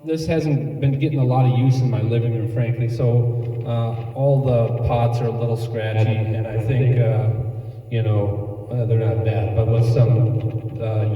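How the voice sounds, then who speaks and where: Man's voice in long, echoing reverb. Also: mid-range voice. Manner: hesitant, off-the-cuff, repeating mic-check words. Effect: voice through tape delay echo unit. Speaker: seller demonstrating vintage audio gear. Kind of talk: casual product demo. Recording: dull, distant, long echo reverb.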